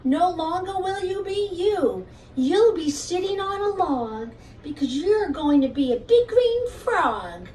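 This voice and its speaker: A woman's voice: very high voice, sing-song, slow, hardly any pauses, a little mellow, pitched above the performer's own voice, heavily acted. A woman voicing a stern magical fairy-tale woman, scolding and declaiming spells in a children's puppet show. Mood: stern, commanding. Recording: roomy, distant mic, faint noise.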